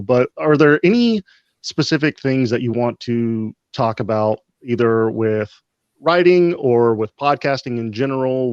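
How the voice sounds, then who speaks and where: A man from Texas hosting a casual interview over a video call, relaxed, with "uh" and "you know" fillers. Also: medium pitch, clean tone, dry recording, dull audio. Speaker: host.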